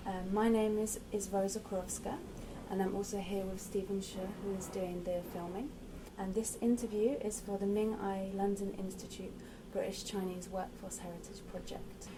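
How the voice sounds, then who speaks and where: A woman conducting an oral history interview, asking gentle, hesitant questions with frequent ums. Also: mid-range voice, level tone, moderate pace, hardly any pauses, far from the mic, noisy background.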